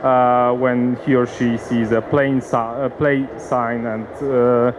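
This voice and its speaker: A man with a Polish accent giving a seminar talk, hesitant, with many pauses and restarts. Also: medium pitch, little pitch movement, lo-fi sound, background noise. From Poland. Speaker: conference speaker. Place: conference hall.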